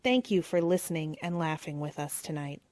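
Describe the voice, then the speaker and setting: Lower-voiced woman announcer, dry sound. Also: moderate pace, darkish tone, no echo. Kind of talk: scripted credits announcement.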